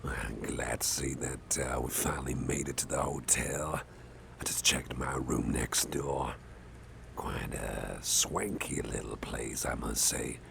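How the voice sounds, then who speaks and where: A man playing a caring, affable boss in an ASMR roleplay, talking softly in a gentle, hesitant, chatty way with a gravelly voice. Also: fairly even intonation, unhurried, heavily acted. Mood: friendly, chatty. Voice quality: deep, gravelly, breathy at times. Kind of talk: ASMR roleplay, soft close personal talk. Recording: faint background noise.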